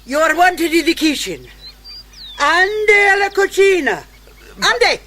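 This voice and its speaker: An actress playing a scheming, seductive señora in a radio drama, with a coquettish, theatrical manner. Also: female voice, very high voice, very animated, slow pace, pushed and bright, slight background noise, full character voice. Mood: commanding. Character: seductive, scheming, theatrical Latin American judge's wife.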